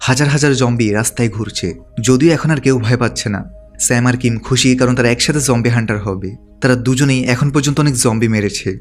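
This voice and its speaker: A man's voice: mid-range voice, fast. A man delivering scripted narration as the narrator of a movie recap. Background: background music.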